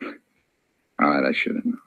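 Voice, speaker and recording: An actor playing a cocky, evasive murder suspect in an old radio crime drama, talking in insolent, hesitant slang. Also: male voice, slow, phone-quality sound, close to an everyday voice. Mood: desperate, pleading. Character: cocky, evasive male murder suspect who turns pleading under questioning.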